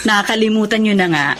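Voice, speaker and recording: A woman playing a warm, devout, caring wife and mother in a Tagalog radio drama, expressive and tender. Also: mid-range voice, slight background noise, natural voice.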